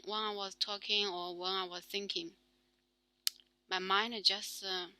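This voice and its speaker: A young woman with a Chinese accent, speaking as a non-native talking through her reading in a halting, filler-heavy monologue. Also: mid-range voice, bright, forceful tone, no echo, rough recording. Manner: halting, many fillers and restarts. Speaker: solo talk show host. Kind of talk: casual spoken practice monologue.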